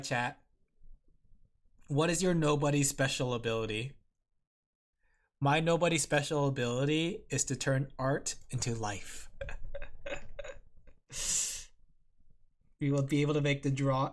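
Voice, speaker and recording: A vtuber streamer who giggles often and chats playfully with viewers while drawing. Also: mid-range voice. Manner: giggly, playful, talks to chat. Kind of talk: casual livestream chat while drawing.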